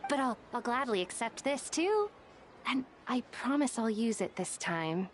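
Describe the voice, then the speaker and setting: A fairly high, expressive young scholar woman from a video game. She is tactful and wry with a shy, careful edge, recorded with faint noise behind her. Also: a little slow. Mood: shy, flustered. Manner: careful, wry, slightly hesitant.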